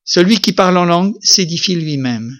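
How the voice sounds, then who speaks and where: A man's voice: animated, moderate pace. French man preaching emphatically. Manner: emphatic preaching, rising to loud peaks then dropping low. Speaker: pastor. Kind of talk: sermon.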